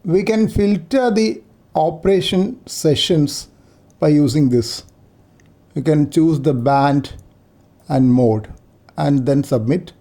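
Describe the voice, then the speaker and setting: A man narrating an unscripted screen-share tutorial, explaining things in short, halting, step-by-step phrases. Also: a little high, warm tone. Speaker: amateur radio operator giving a software demo.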